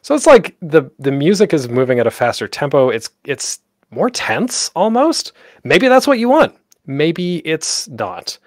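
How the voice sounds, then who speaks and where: A man's voice: fairly high pitch, big pitch swings, measured pace, hardly any pauses, no echo. A man hosting an instructional talk in a casual, rambling way, often interrupting himself. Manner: informal, rambling, self-interrupting. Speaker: panel host teaching audio drama production. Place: close, dry home recording for an online panel.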